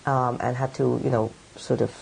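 A woman's voice: rumbling low, flat delivery, moderate pace, vocal fry, darkish tone, a little hiss or hum, poor audio. A middle-aged woman writer from Hong Kong, answering interview questions in a thoughtful, meandering way with many fillers. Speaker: writer and editor.

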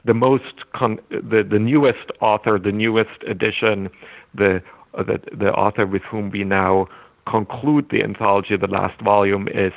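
A man whose first language is German, a literature scholar giving thoughtful, halting interview answers with frequent restarts. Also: lowish voice, fairly even intonation, vocal fry, narrow, tinny audio. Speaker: literature scholar and anthology editor.

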